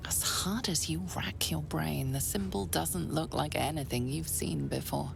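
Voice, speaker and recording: A woman voicing a grave, mysterious fantasy game storyteller with a measured, breathy, gravelly delivery. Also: low voice, over music, full character voice. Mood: hushed, ominous. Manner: slow, measured, portentous. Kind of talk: scripted second-person fantasy game narration.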